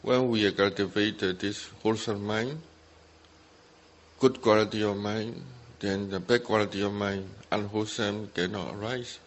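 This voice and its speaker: A man's voice: on the low side, fairly even intonation, unhurried. A man who speaks English as a second language, giving guided meditation instruction as a Buddhist teacher in short simple phrases with gaps between them. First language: not English. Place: meditation retreat talk.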